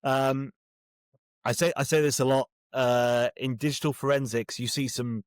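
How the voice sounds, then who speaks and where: A British man chats casually as an interview guest. He is jokey and self-deprecating, often circling before he lands on an answer, in a medium-pitched voice with a dry sound. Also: fairly even intonation, normal speed, clean tone, no room sound. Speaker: guest author.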